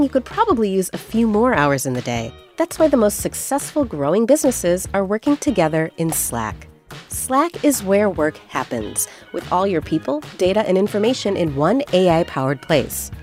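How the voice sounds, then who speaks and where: Lively woman reading a scripted ad. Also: mid-range voice, moderate pace, soft background music. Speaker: ad announcer. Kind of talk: scripted ad narration.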